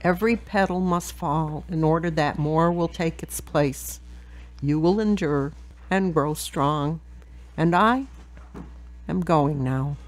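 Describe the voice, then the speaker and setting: Casting: woman to play devoted dying elderly mother, lower register, solemn, loving, radio drama. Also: slow, low-quality recording. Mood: serene, solemn. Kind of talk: audio drama monologue.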